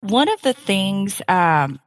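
Woman from korea on a podcast, candid, halting storytelling, voice has a long reverb tail. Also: mid-range voice, very animated, clean studio sound. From South Korea. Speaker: podcast guest. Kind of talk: conversational personal storytelling.

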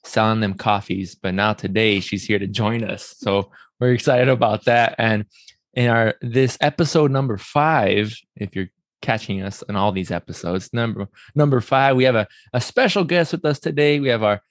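A man's voice: on the low side, normal speed, no echo. A man hosting a podcast in a casual, friendly, welcoming way, often repeating words and restarting as he goes. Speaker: podcast host. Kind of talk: casual conversational interview.

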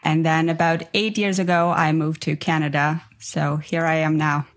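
Reflective woman guest, somewhat low voice. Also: little pitch movement, normal speed. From Armenia, raised in Ukraine. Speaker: guest, life coach. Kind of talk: casual interview.

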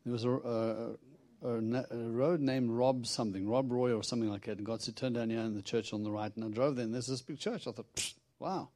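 Middle-aged South African-born preacher, Texan accent, humorous storytelling sermon, dry close sound. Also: male voice, medium pitch, fairly quick, hardly any pauses, darkish tone, no room sound. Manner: chatty and humorous, acting out dialogue with God. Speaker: visiting preacher. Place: church.

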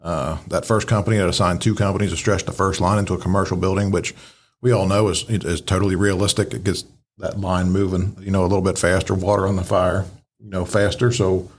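Low-voiced man breaking down fireground tactics. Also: flat delivery, fairly quick. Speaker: podcast co-host and fire service instructor. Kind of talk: casual analytical commentary on fireground radio traffic.

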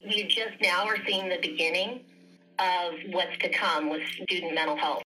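A woman's voice: fairly low pitch, fairly even intonation, moderate pace, bright, forceful tone, poor audio. A woman giving conversational interview answers as a school mental health coordinator.

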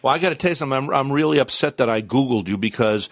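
A man's voice: mid-range voice, animated, very fast, no room sound. A man hosting a conversational celebrity interview in a gushing, effusive way. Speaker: interview host.

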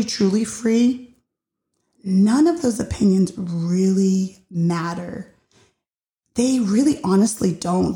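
Deep, slightly raspy woman host. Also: warm tone. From Puerto Rican and Dominican heritage. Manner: slow, heartfelt, searching for words. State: recovering from losing her voice. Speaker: podcast host. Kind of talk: reflective personal monologue. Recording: roomy echo.

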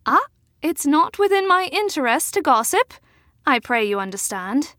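Woman playing a high, swooping genteel madame. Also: big pitch swings, normal speed, sharp tone, no echo, clean studio sound, full character voice. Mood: polite, firm. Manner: theatrical, genteel, formal. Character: genteel older woman chaperone, sweet but faintly menacing. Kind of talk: audio drama acting.

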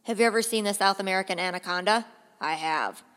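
Forceful, emphatic male general voiced by a woman, graphic novel read-aloud, reverberant hall sound. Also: medium pitch, fast, natural voice. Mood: boastful, intense. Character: forceful, excitable male army general obsessed with snakes.

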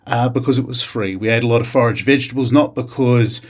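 Chatty New Zealand man, halting delivery. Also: medium pitch, husky. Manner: hesitant, many uh fillers and restarts. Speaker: chef. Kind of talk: casual interview conversation.

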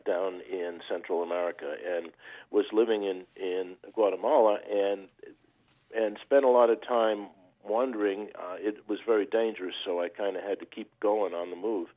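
A man's voice: lowish voice, moderate pace. A man from Maine, a novelist, speaking earnestly on a phone line, with hesitant restarts as he explains. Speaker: novelist guest. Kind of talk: interview over the phone.

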